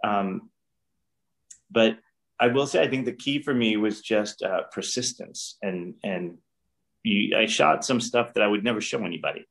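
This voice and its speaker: A middle-aged man speaks in a lowish, unhurried voice, reflecting earnestly and often restarting his sentences. He is talking from a room at home over a webcam call. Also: creaky, fairly bright tone. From New York. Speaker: guest director. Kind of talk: casual interview. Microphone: video call webcam.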